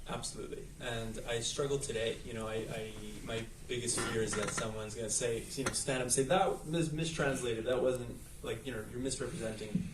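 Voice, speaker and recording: A man's voice: mid-range voice, fairly even intonation, fairly quick, hardly any pauses, noisy background. A man from the United States, a film director, answering questions in a festival Q&A in a thoughtful, earnest way with fillers. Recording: echoey room, mic at a distance.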